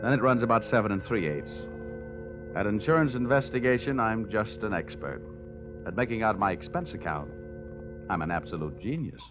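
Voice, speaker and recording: An American actor playing a wry, wisecracking insurance investigator in an old-time radio detective drama. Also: male voice, lowish voice, lively intonation, moderate pace, natural voice. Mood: wry, self-assured. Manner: wry, breezy, sardonic. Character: cocky wisecracking male insurance investigator, rugged and unflappable. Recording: tinny vintage radio recording, faint music.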